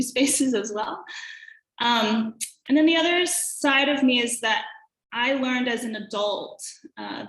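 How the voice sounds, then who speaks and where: Woman in her thirties, academic presenter, mid-pitched, thoughtful and halting, roomy echo. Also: fairly bright tone. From Hawaii. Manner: thoughtful, many fillers. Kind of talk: conference presentation with slides. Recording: echoey room.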